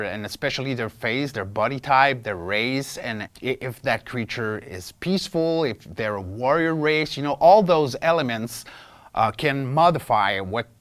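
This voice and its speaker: A man explaining his creature-voice craft in a making-of interview, haltingly, repeating words as he searches for the next. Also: medium pitch. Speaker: vocal performer and creature-voice specialist.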